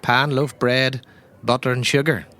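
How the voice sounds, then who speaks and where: A man playing a gruff, sweary Irish tavern keeper, blunt and wry, in comic audio drama dialogue. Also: medium pitch, steady tone, a little slow, pushed and bright, a little hiss or hum. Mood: puzzled.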